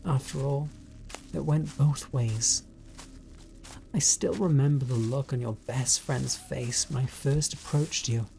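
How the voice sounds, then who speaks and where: A man with a British accent playing an obsessive, bitter spurned stalker in a horror audio roleplay, speaking in a deliberate, coldly taunting way. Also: medium pitch, creaky, warm tone, slight background noise, rough recording. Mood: resentful, wounded.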